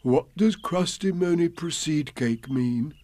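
A man voicing a simple, humble bear in a children's storybook, with a plaintive, gentle manner. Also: on the high side, dry recording, voice pushed up. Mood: humble, puzzled. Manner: plaintive, gentle, slightly slow-witted.

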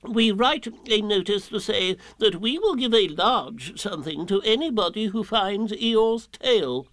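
Pompous, wordy storybook owl: high, swooping pitch, slow and fussy delivery, dry close recording. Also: male voice, expressive, fairly bright tone, dry recording. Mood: self-important. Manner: pompous, formal, drawn-out delivery.

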